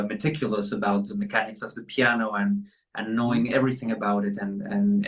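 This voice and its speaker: A man's voice: medium pitch, recorded from a distance, rough recording. A man with a Spanish accent, a concert pianist, answering interview questions hesitantly with many fillers.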